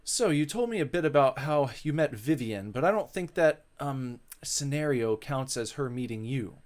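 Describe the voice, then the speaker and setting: A man voicing a blunt, sceptical woman interviewer in a visual novel, with measured delivery. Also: mid-range voice, animated, lightly acted. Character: dry, probing woman interviewer questioning a ghost.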